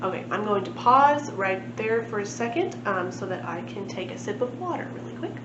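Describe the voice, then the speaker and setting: A woman's voice: mid-range voice, animated, moderate pace. A woman reading a children's storybook aloud as a library storytime narrator. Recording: echoey room, muffled, clear background noise.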